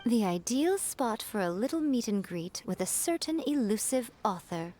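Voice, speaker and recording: Sly elegant fox shrine maiden, playful up-and-down voice, calm and teasing. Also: female voice, a little high, moderate pace. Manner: teasing, composed, amused. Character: sly elegant fox-spirit shrine priestess, adult woman. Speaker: game character.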